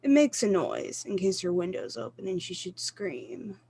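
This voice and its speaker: A woman's voice: fairly low pitch, very animated, normal speed, dark tone, slight background noise. A woman playing a cold-blooded male hired killer in a suspense radio play, matter-of-fact and reassuring. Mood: cold, businesslike.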